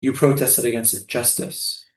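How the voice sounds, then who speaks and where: A man's voice: medium pitch, level tone, normal speed, roomy sound, natural voice. A man in a staged play reading, acting a doomed, solemn prisoner who is a loving, poetic father. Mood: gentle, resolute.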